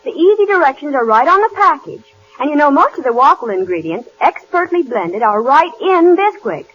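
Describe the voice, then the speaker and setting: An actress playing a gushing, grateful housewife in a 1940s radio commercial, on a muffled broadcast recording. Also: female voice, high voice, big pitch swings, moderate pace, a bit bright, a little hiss or hum. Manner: gushing, enthusiastic.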